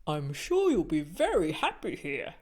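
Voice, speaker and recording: A woman acts out a genial storybook owl schoolmaster, a male figure addressing the class. The delivery is encouraging and teacherly, with wide swings in pitch. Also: wildly swooping pitch, unhurried, darkish tone, exaggerated voice.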